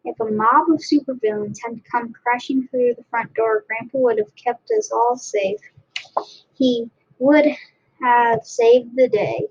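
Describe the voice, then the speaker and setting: Slow, high-voiced woman reading aloud, creaky edges. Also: highs cut off. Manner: halting, repeats and restarts phrases while reading. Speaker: story reader. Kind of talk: read-aloud storybook narration.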